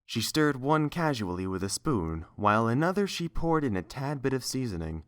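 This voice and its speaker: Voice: mid-range voice, sing-song, normal speed. A narrator reading scripted story prose.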